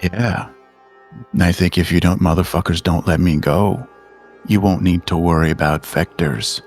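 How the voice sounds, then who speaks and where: A man's voice: sits low, steady tone, moderate pace, darkish tone, soft background music. A man playing a tabletop game, speaking in character and asking about the scene. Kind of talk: in-character roleplay and table talk.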